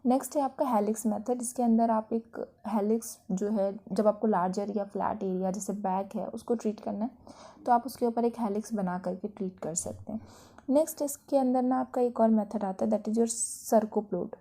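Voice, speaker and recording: A woman's voice: mid-range voice, normal speed, mellow. An Indian woman giving an explanatory physiotherapy lecture in an instructive manner, mixing in English technical terms.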